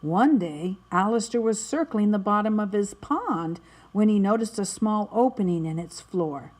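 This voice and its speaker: A woman narrating a children's story in an expressive, dramatic way, stretching words for emphasis. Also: medium pitch, normal speed. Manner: animated, dramatic delivery with emphatic word stretching. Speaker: storybook reader.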